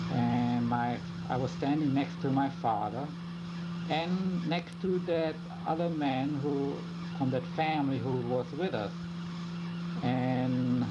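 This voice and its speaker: An older man whose first language is German, slowly and carefully recounting his memories in an oral history interview. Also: medium pitch. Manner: slow, halting, careful recounting. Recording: lo-fi tape with loud hum.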